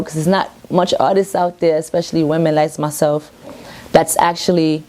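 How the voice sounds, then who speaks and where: A woman, talking in a low, deep register. She speaks at her home in a relaxed one-on-one interview, earnest and self-assured, her sentences loose and rambling. Her tone is dark and mellow. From Brooklyn, New York. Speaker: reggae artist.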